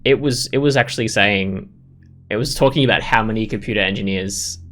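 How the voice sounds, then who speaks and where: A man's voice: lowish voice, fairly quick, fairly bright tone. A man hosting a gaming video, giving casual commentary and reading game text aloud in a reflective way.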